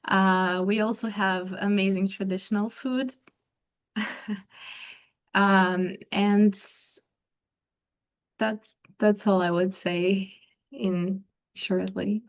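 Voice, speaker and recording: Young woman, from Croatia, native Croatian speaker, soft-spoken, hesitant ums, dull lo-fi recording. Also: medium pitch, little pitch movement, extremely slow, pauses often. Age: young adult. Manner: soft-spoken, careful, with frequent ums and restarts. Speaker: interview guest, pianist. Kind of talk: interview answers.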